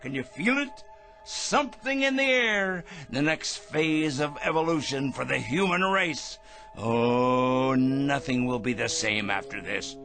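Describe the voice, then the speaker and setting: An actor playing a pompous, paranoid megalomaniac in a radio drama, with a theatrical, feverishly excitable, ranting manner. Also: male voice, medium pitch, measured pace, soft background music. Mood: giddy, exultant.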